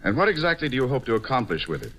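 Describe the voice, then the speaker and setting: An actor playing a suave, condescending middle-aged doctor in a 1940s radio drama. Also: male voice, mid-range voice, sing-song, very fast, a bit bright, a little hiss or hum, low-quality recording. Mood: cool, condescending. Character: smug, controlling sanitarium doctor, coolly condescending.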